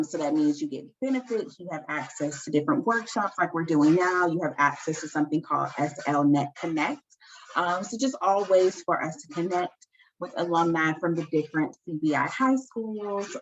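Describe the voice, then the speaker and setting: Lowish-voiced woman running a zoom workshop, chatty, lots of ums, kinda dull audio w/ a little hiss. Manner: chatty and upbeat, many ums and likes. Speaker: workshop host. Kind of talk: casual online workshop. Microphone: video call. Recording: slightly dull video call audio with faint noise.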